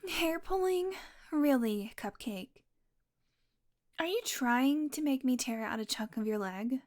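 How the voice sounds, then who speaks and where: A woman acts out a female vampire in an intimate audio roleplay. Her voice sits on the high side and she talks very unhurriedly. She is smug and flirtatious, teasing her prey with mock annoyance and possessive fondness. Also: expressive, unhurried, darkish tone. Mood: annoyed, softening.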